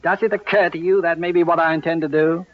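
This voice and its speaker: An actor playing an exasperated, gullible middle-aged homeowner in an old-time radio comedy, indignant and sputtering. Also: male voice, a little high, fairly even intonation, quick pace, muffled recording, lightly acted. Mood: stubborn.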